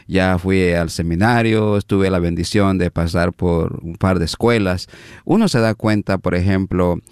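A middle-aged man from Guatemala, a minister being interviewed, telling a story in an unhurried, reflective way with many fillers. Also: low voice, steady tone, darkish tone, clear, resonant tone, dry recording, clean studio sound.

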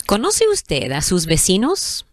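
A woman radio host with a very low voice who speaks slowly. She puts her questions in a measured, deliberate way, and the sound is close and dry. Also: wildly swooping pitch, dry recording. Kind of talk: hosted radio interview.

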